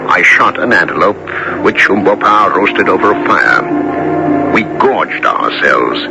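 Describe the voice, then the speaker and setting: An actor playing a seasoned adventurer who narrates his perilous expedition in a dramatic, deliberate radio drama style. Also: low voice, sing-song, creaky, full character voice. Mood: dramatic recounting. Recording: old radio broadcast with loud music bed.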